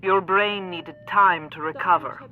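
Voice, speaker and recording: An actress playing a composed, formal doctor who explains things in measured clinical terms in a video game cutscene. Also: female voice, fairly low pitch, fairly even intonation, narrow, tinny audio, natural voice. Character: composed clinic doctor briefing an augmented patient.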